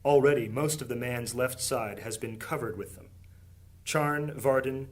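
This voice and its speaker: A man giving steady, descriptive scripted narration for a fantasy audio drama. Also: medium pitch, unhurried.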